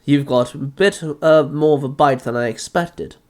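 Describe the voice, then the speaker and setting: A man playing a boastful pirate who wields lightning in an audio drama, challenging and forceful. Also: a little high, moderate pace, lightly acted. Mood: grudging respect. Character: bold, boastful male pirate with lightning powers.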